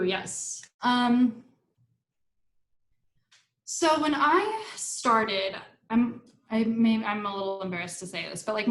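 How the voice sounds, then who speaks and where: A woman's voice: fairly high pitch, reverberant, low-quality recording. A young woman from Argentina talks casually in an interview, with lots of likes, restarts and laughs. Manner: chatty, many likes and restarts, laughs often. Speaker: staff writer and interview guest.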